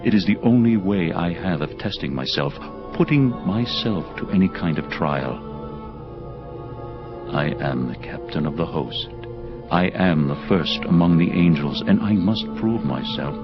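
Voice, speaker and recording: Man playing a very deep-voiced, proud angel captain. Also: animated, a little slow. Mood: brooding, proud. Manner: formal, stately, contraction-free speech. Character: proud, intense angel captain of the heavenly host. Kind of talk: audio drama acting. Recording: muffled lo-fi audio drama with music. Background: prominent music.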